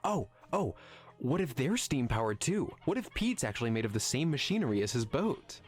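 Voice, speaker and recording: A man's voice: mid-range voice, very animated, normal speed, lightly acted. A man playing a sarcastic, irreverent film nerd in a visual novel game, wry and mischievous in his needling.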